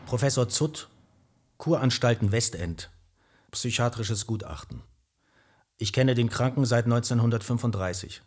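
A man's voice: medium pitch, measured pace, pauses often, breathy edge. An actor playing a detached male psychiatry professor reading out a clinical report in a radio drama.

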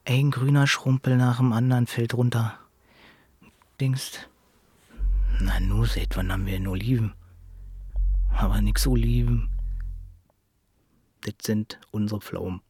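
A man's voice: fairly low pitch, steady tone, a little slow, pauses often, dark tone, slightly rough. An actor playing an inventive, brooding village man in a radio play, folksy and explanatory, with a Berlin-Brandenburg German accent. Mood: wry lament. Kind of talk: naturalistic radio play dialogue.

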